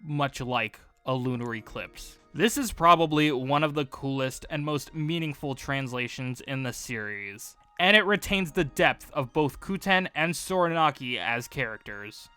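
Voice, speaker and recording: A man hosts a scripted commentary video in a bright, forceful voice. His pitch swings widely as he stresses key words expressively. The recording is dry and close, with music underneath. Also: animated, a little slow, few pauses, clean tone, dry recording. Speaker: video essay host. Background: background music.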